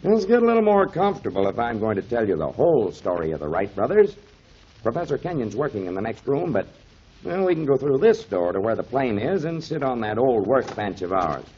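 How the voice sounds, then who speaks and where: An actor playing a kindly, avuncular mentor explaining things to children in emphatic 1930s radio style, on a muffled old recording. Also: male voice, medium pitch, very animated, brisk, darkish tone, a little hiss or hum, lightly acted. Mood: easygoing. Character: kindly grown man, storytelling mentor to children, pipe smoker.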